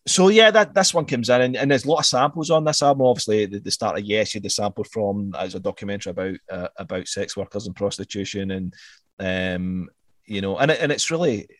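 A man's voice: fairly low pitch, fast, no room sound, clean studio sound. A man, casually talking through an album as a podcast co-host, rambling and swearing, repeating words as he goes.

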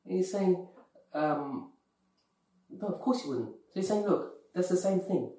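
Earnest male co-host from the UK, a bit higher-pitched, recorded distant in a very reverberant room. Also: animated, a little slow, mellow. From UK, of Pakistani heritage. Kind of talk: earnest conversational chat. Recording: echoey room, distant from mic.

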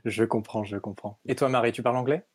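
Playful male show host, mellow voice. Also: mid-range voice, fairly even intonation, quick pace, breathy edge. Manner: upbeat, playful introductions. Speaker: podcast host. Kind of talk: casual remote chat show.

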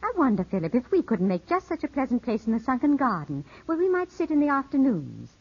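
An actress playing a poised, gracious widow, coaxing and measured, in 1950s radio drama style. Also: female voice, high voice, big pitch swings, breathy edge, full character voice. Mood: wistful, inviting. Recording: muffled old 1950s radio broadcast.

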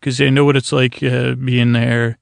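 A man hosting a bedtime podcast, rambling in a slow, languid, gentle and reassuring way with long, meandering pauses. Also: medium pitch, little pitch movement, creaky, clean tone, no echo, clean studio sound. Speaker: podcast host.

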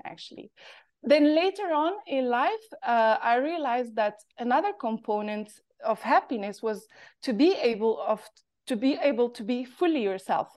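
Moroccan-accented woman, reflective guest. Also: darkish tone. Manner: thoughtful, halting, pitch rising and falling. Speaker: guest, artist. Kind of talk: reflective interview storytelling.

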